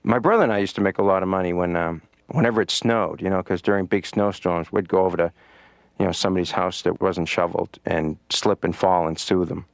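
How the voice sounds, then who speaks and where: A middle-aged man voicing a cartoon patient who tells stand-up jokes in a deadpan way, filling his lines with "you know.". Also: low-pitched, brisk. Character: comedian patient on the therapy couch.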